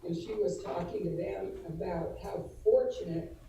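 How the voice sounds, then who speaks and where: A woman speaks on a video call, her voice very dark and mellow and a bit hoarse from allergies. She talks in an earnest, halting way, with many fillers and restarts, as she pays tribute to a colleague. She sits back from the mic in a strongly echoing room with faint background ambience. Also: lowish voice, distant mic, a little hiss or hum. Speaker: adult education administrator presenting to school board. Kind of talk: formal tribute presentation at a virtual board meeting.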